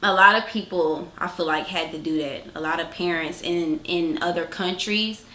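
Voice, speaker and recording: A woman chatting casually and slangily as co-host of a reaction channel, in an animated, hyped-up way. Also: fairly low pitch, normal speed. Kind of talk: casual hype reaction chat. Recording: roomy, faint music behind.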